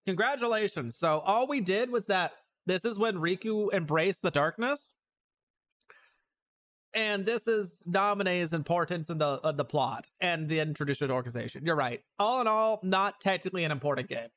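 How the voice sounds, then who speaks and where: A woman's voice: on the low side, lively intonation, normal speed. A woman giving casual, playful and giggly livestream commentary as she reacts to video game recaps.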